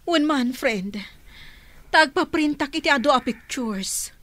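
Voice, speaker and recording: A woman's voice: sits high, expressive, fairly bright tone, no room sound, full character voice. A woman playing a fretful daughter in a radio drama, searching for her missing mother. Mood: worried. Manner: fretful, quick.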